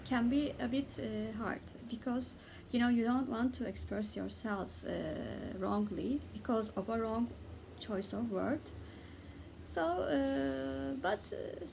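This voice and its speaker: A young Turkish woman with a Turkish accent, talking casually as a book vlogger and pausing often with "uh" between words. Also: sits high, gravelly, a little mellow, slight background noise, poor audio. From Turkey. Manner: hesitant, many "uh" pauses between words. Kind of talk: casual tag video talk.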